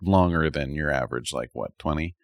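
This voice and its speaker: A man's voice: very deep voice, brisk, no room sound, clean studio sound. A man co-hosting a podcast in casual chat, rambling a little and thinking aloud as he gives his opinions. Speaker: podcast co-host. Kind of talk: casual chat, reviewing anime.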